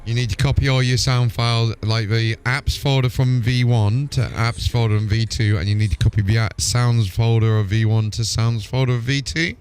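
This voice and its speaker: A man's voice: mid-range voice, steady tone, normal speed, hardly any pauses, a little mellow, a little hiss or hum. A man chatting casually on a game livestream, giving informal step-by-step tech help. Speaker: game streamer.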